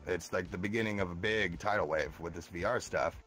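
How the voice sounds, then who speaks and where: A man in his twenties, a streamer guest in a casual podcast chat, talking in an animated way and swearing now and then. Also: fairly quick, soft background music, rough recording. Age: 20s. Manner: animated, swearing, jumps into high mock voices.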